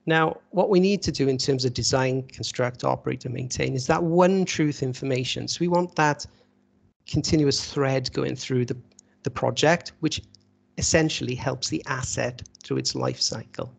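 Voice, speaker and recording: Creaky-voiced man giving an online lecture. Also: mid-range voice, moderate pace. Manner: explanatory, conversational, rhetorical questions. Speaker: university lecturer presenting at a webinar. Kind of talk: informal slide-led lecture. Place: online video call. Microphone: video call microphone.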